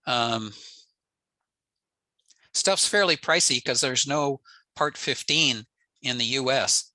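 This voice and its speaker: A man's voice: medium pitch, takes it slowly, sharp tone, no room sound. An older American man giving an informal technical slide presentation in a matter-of-fact, plain-spoken way. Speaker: presenter, amateur radio enthusiast. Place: indoors, on a video call.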